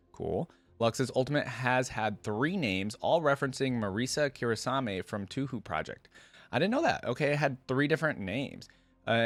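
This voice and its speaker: A man's voice: fairly low pitch, no echo. A man hosting a reaction video, chatting in a laid-back way as he comments and reads aloud. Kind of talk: casual commentary with read-aloud passages.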